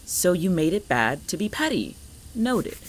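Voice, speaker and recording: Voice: mid-range voice, sing-song, unhurried, a little hiss or hum, poor audio. An actor in a fiction podcast playing a guarded, irritable homebody who is curt, sarcastic and exasperated.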